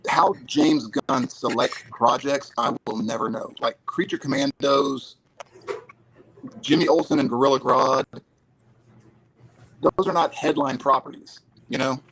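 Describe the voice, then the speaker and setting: A man guesting on a relaxed chat show, with a mid-range voice. He answers in a measured, thoughtful way, and faint noise sits behind him. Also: mid-range voice, poor audio. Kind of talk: casual chat.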